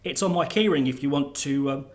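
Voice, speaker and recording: A man's voice: mid-range voice, brisk, natural voice. A man acting in a radio drama as a callous, sardonic general who can sound smug or flustered. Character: callous military general, smugly mock-innocent, prone to fluster.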